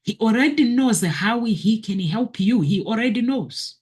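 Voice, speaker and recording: A woman's voice: on the high side, animated, measured pace, darkish tone, breathy edge. A woman hosting a motivational video, talking earnestly to her viewers and repeating her key phrases.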